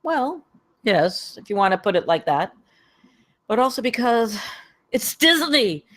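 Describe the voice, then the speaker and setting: A woman's voice: a little high, sing-song, measured pace, fairly bright tone, exaggerated voice. A woman at a script table read, playing an earnest, somewhat weary and defensive former government man.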